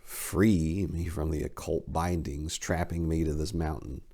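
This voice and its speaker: A man voicing an ancient fallen angel who speaks in a measured, earnest, solemn way, read aloud in a study with a lapel mic. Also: a bass voice, steady tone, warm tone, heavily acted. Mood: pleading. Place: study lined with bookshelves.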